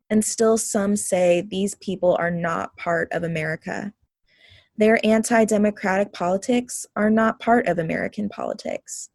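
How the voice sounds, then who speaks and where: A woman reading an essay aloud at a group reading, in a measured, deliberate way. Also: mid-range voice, gravelly, a little mellow, dry recording, clean studio sound.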